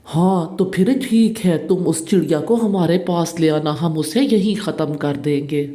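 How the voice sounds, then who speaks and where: A woman's voice: on the low side, unhurried, mellow, close to an everyday voice. A woman voicing a menacing, scheming owl in a Hindi children's cartoon. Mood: gruff challenge. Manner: menacing, conspiratorial. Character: scheming, threatening owl gang plotting to kill.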